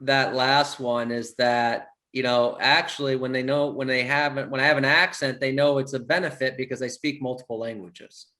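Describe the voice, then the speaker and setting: A man's voice: medium pitch, little pitch movement, moderate pace, few pauses, bright, forceful tone. A man hosting a real estate coaching call, casual and encouraging, often repeating his praise. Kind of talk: casual chat.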